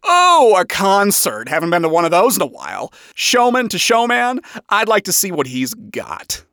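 A woman's voice: a little high, big pitch swings, measured pace, fairly bright tone, dry recording, clean studio sound, voice pushed up, heavily acted. Woman putting on a smug, swooping showman king. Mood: smug, curious. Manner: smug, exaggerated. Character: smug, flamboyant father, showman king of hell.

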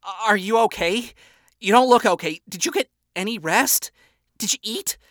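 A flamboyant showman king of hell dotes and fusses in loud, high bursts, as a narrator puts him on. The sound is dry and close, like a storybook reading. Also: normal speed, no echo, natural voice. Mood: theatrical. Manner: theatrical, fussing.

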